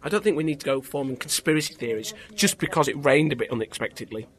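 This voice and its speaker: A man's voice: on the high side, expressive, fast, close to an everyday voice. A man playing a skeptical weather official. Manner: skeptical, brusque.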